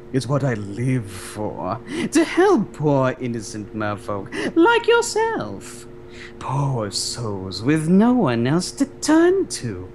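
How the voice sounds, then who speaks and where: A man voicing a sly, scheming female sea witch, singing and speaking slowly in a lower tone, recorded a bit away from the mic. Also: darkish tone, a little hiss or hum, exaggerated voice.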